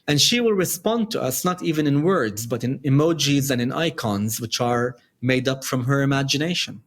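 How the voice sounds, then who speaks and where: A middle-aged man from Dubai with a light Dubai accent, answering interview questions in measured, explanatory short phrases. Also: mid-range voice, expressive. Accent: light Dubai English. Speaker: podcast guest, company founder.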